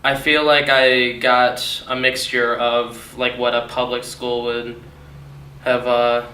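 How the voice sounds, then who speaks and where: A nineteen-year-old man from Michigan answering interview questions casually, halting often with fillers and restarts. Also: mid-range voice, monotone, bright, forceful tone. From Michigan, USA. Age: young adult. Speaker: college student interviewee. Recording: echoey room, mic at a distance, faint noise.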